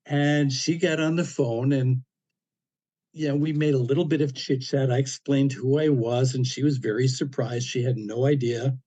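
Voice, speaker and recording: An older man around seventy talks through a personal story as a podcast guest, unhurried and anecdotal. His voice is warm and mellow, pitched in the middle range, with dry humor and plenty of "you know" fillers. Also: fairly even intonation. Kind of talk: casual storytelling interview.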